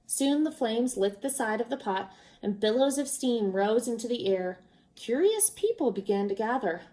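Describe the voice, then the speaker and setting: A woman reading a children's storybook aloud, expressive and unhurried, as if to young listeners. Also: on the high side, poor audio. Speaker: storybook reader. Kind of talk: children's read-aloud narration.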